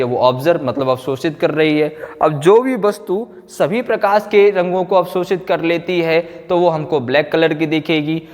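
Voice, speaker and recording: A man lecturing as a physics teacher, explaining with repetition, rhetorical questions and frequent check-ins. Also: a little high. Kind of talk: classroom lecture. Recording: echoey hall, long reverb.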